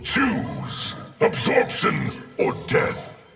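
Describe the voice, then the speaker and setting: An actor voicing a menacing collective of many souls in a fighting game, solemn, with a raspy, breathy growl. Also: male voice, very slow, far from the mic, over music. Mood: threatening. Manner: solemn, speaks as "we". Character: menacing hive of many souls speaking as one being. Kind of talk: video game fighter dialogue.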